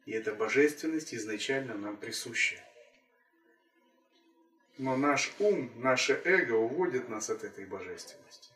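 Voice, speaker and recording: A man speaking as a spiritual teacher in a calm, measured way, returning again and again to the same phrases. His voice sits at an ordinary pitch. The recording is roomy and a little distant from the mic. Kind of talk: spiritual talk.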